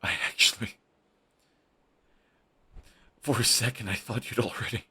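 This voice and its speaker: A man's voice: mid-range voice, steady tone, unhurried, sharp tone, slightly rough, exaggerated voice. A young man playing a bitter, fiercely devoted male villain in an audio roleplay, talking in an intense, raw way and swearing often. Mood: grieving.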